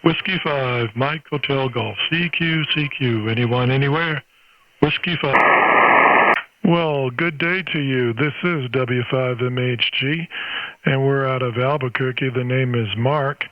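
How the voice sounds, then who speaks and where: Through dull, band-limited ham radio audio comes a man in his sixties with a middling pitch. He hosts an on-air contact chat in a folksy, friendly way, with plenty of exclamations. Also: unhurried. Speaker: amateur radio operator and channel host.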